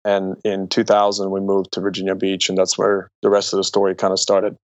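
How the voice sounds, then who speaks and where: A man's voice: sits low, monotone, fairly quick, dry recording, muffled recording. A man in his forties from Croatia, talking conversationally about himself as an interview guest. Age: 40s. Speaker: podcast guest, entrepreneur and speaker. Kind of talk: conversational interview, personal storytelling.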